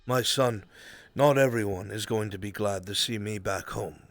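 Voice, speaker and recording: A man playing a grave, fatherly older military commander in a fantasy roleplay, speaking in a measured, solemn, counselling way. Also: on the low side, fairly bright tone. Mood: solemn, consoling. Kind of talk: live fantasy roleplay, improvised dramatic acting. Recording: music behind the voice.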